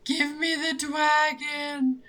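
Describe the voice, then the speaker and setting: A woman's voice: high-pitched, level tone, slow, bright, forceful tone. A young woman chatting casually with a gaming partner, hesitant at times and playful. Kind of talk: casual gameplay chat. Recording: faint background noise.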